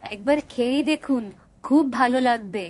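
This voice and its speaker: A woman's voice: a little high, normal speed, darkish tone, close to an everyday voice. A woman voicing a caring young village sister. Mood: earnest. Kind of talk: Bengali cartoon voice acting.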